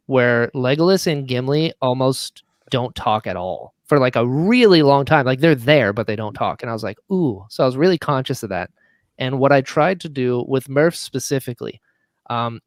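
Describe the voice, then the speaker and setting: A man's voice: mid-range voice, dry recording. Casual man telling stories, dry close sound. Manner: animated storyteller who acts out quoted lines. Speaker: audio drama writer and producer, podcast guest. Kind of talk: casual chat.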